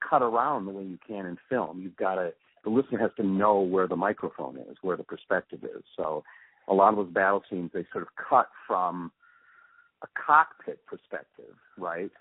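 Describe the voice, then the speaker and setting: A man, middle-aged or older, answering interview questions in a thoughtful, explanatory, halting way. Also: low-pitched, husky, no room sound, phone-quality sound. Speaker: interview guest, radio drama post-production supervisor.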